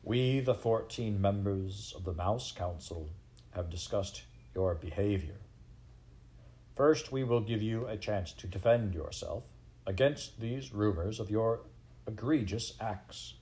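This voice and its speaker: A man voicing a stern male mouse council leader in a storybook, formal, deliberate and authoritative. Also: on the low side, warm tone. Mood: stern, judicial.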